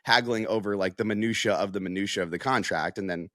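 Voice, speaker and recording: Low-voiced American man, casual sports analyst. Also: fast, bright, forceful tone, no echo, studio quality. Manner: conversational, peppered with "like" and "you know". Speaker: sports reporter, guest analyst.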